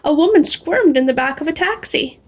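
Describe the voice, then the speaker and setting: A woman reading a children's storybook aloud in an animated, expressive way. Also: sits high. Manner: animated, drawing out refrains slowly. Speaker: storybook reader. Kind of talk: expressive read-aloud of a children's picture book.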